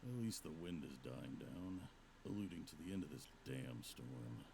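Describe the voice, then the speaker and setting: A man playing a gruff, stalwart, weary monster hunter in a visual novel, deep and gravelly, with a terse, grumbling delivery. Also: animated, normal speed, darkish tone, slight background noise, heavily acted.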